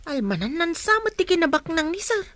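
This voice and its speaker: Radio serial maid: respectful, eager to please, high swooping voice, dry studio sound. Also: female voice, big pitch swings, brisk, fairly bright tone, no room sound, exaggerated voice. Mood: deferential. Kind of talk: radio drama acting.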